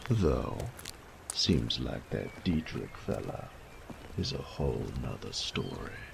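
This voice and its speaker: A man playing a sadistic, teasing bandit in an audio roleplay, with a gravelly voice and a taunting, coarse drawl. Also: very animated, takes it slowly, background noise, exaggerated voice. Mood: amused, scornful. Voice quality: gravelly, creaky low voice. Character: sadistic bandit rogue, menacing, teasing, mocking, flirtatious. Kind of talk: roleplay audio drama acting.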